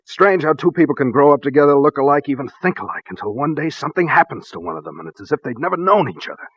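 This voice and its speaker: A man playing a grave, haunted husband in a 1940s radio drama, with a measured, formal, slightly husky delivery. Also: a little high, animated, fairly bright tone, dry recording. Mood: brooding, troubled. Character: grave, secretive husband haunted by his mad brother. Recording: dull, dry vintage radio recording.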